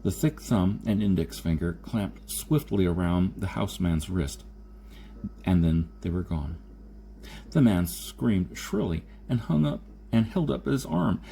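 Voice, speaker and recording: A man narrates a science fiction tale in a relaxed, dark-toned voice that sits a little low. He reads from a script as an audiobook narrator. There is some faint noise behind him. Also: a little slow, vocal fry. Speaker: audiobook reader. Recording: noticeable background noise.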